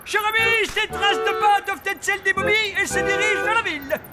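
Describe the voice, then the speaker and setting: An excitable little investigator in a cartoon. The very high voice is pompous and declamatory, with loud music behind. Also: female voice, a little fast. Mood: excited, commanding. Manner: declamatory, commanding. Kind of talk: French-dubbed children's cartoon acting.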